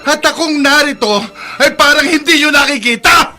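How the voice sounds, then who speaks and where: Embittered, high-voiced, sharp Filipino radio father. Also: normal speed, soft background music, exaggerated voice. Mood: bitter, wounded. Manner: scolding, loud, aggrieved. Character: embittered, hot-tempered father of two children. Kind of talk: Filipino radio drama acting.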